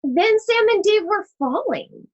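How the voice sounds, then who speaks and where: A woman teacher reading a picture book aloud to children, expressive and speaking directly to her young listeners. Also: very high voice, measured pace, highs cut off. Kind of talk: children's read-aloud with chatty asides.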